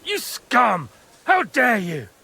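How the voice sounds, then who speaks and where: An actor playing a proud, blustering medieval camp commander, haughty, defensive and emphatic, in a dramatic video game. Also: male voice, extremely high pitch, huge pitch swings, takes it slowly, creaky, pushed and bright, husky, exaggerated voice. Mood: outraged.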